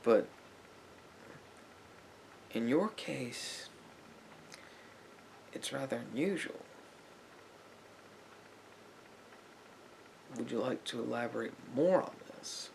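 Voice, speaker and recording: Male therapist played by a man for ASMR roleplay: calm, probing, gravelly low end, slight background noise. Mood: gently probing. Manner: measured, hesitant, deliberate questioning with long pauses.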